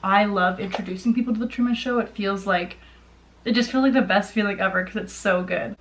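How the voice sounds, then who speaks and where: A woman's voice: medium pitch, brisk, slight background noise, low-quality recording. A young woman chatting casually as a vlogger, gushing and rambling in an informal way. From Ontario, Canada. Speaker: vlogger showing a personal collection. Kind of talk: casual chat to camera.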